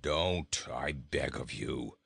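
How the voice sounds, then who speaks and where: A sour talking goat from a storybook, played by a man, pitched well down in a grumble. He is scornful and deadpan, drawing out his sarcasm at an unhurried pace. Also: vocal fry, breathy edge, heavily acted. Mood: surly, grumbling. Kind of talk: storybook character voice.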